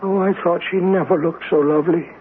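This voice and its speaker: An actor playing a frail, dying old uncle haunted by lost love, speaking haltingly and repeating himself in a radio drama. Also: male voice, sits high, steady tone, close to an everyday voice. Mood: wistful. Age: 70s or older. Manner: halting, repeats words in agitation. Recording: muffled, noisy old radio broadcast.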